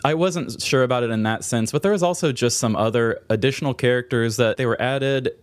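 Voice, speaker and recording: A man's voice: mid-range voice, fairly quick, smooth, dry recording. A man hosting a podcast, chatting casually about films in an informal, opinionated way with casual swearing. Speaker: podcast host. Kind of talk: casual movie chat.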